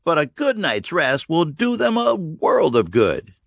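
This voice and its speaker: A man's voice: a little high, wildly swooping pitch, a little slow, creaky, a bit bright, no echo, voice pushed up, full character voice. Man voicing a caring, reassuring woman caretaker. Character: caring woman who minds young kids.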